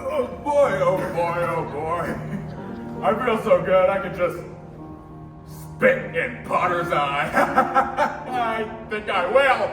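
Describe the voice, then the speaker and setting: A man's voice: high-pitched, animated, very slow, recorded from a distance, full character voice. A teenage male student actor playing a jolly, muddled older uncle in a radio play, exclaiming and repeating himself. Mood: tipsy, merry. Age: teens. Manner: exclaiming, wandering, repetitive. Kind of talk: old-time radio play acting on stage. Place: high school theater stage. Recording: echoey hall from afar, music behind.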